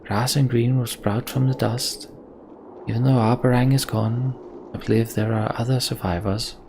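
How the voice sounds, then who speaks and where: A man hosting a let's play, commenting and reading game text aloud in an unhurried, reflective way. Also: medium pitch, steady tone, vocal fry, darkish tone. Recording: slight background noise.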